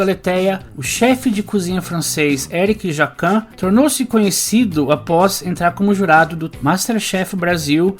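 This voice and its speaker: A man presents as a podcast host in a scripted, journalistic style. Light music plays behind his voice. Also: high-pitched.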